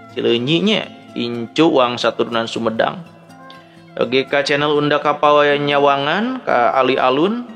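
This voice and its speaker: A man with a Sundanese accent speaking as a storyteller and host, in an expressive, conversational way. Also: mid-range voice, vocal fry. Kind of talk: dramatic Sundanese folk-tale narration and listener greetings. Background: background music.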